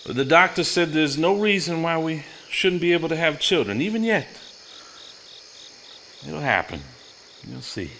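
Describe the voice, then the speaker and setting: A man acting a courteous, mild-mannered husband in a live stage play, speaking in measured phrases. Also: fairly high pitch, sing-song, creaky, bright, forceful tone, a little hiss or hum, exaggerated voice. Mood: reassuring. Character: genteel, reassuring Southern husband, mild-mannered. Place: theater stage before a live audience.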